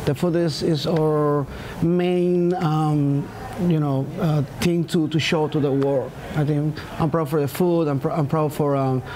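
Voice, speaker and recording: Man, spanish speaker, halting, lots of ums, faint hiss. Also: on the high side, flat delivery, warm tone. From Peru. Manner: halting, many fillers. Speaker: chef.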